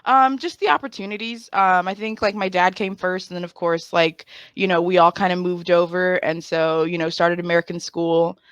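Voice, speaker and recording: A woman comedian born in Haiti, chatting as a podcast guest in an animated, joking way with many "um"s. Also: on the low side, moderate pace, hardly any pauses, clean tone, dry recording. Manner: animated, joking, lots of fillers like "um". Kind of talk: casual interview chat.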